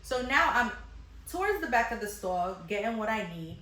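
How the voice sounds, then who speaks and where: A woman's voice: on the high side, big pitch swings, moderate pace, sharp tone. Chatty woman storyteller in an echoey room. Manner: acts out dialogue with exclamations and rhetorical questions; chatty and slangy, addressing viewers directly. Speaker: vlogger telling a personal story. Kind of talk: casual, animated personal storytelling.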